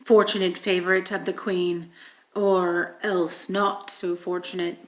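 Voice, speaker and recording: A woman's voice: on the low side, flat delivery, a little slow, pitched below the performer's own voice, close to an everyday voice, low-quality recording. A woman voicing a sinister male gray wolf in a storybook, mocking and ominous as a secret police chief.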